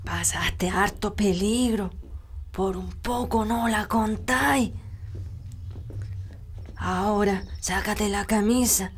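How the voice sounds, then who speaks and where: A woman's voice: medium pitch, slow pace, pauses often, husky. A woman playing a bossy, mysterious old country healer in a radio drama, scolding in a rural Chilean accent. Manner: scolding, colloquial, sometimes hushed.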